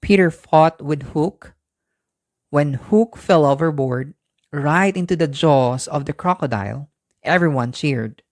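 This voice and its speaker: A storyteller reads a children's bedtime tale from a script at a middle pitch. The sound is dry and close, with no room around it. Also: unhurried, no echo.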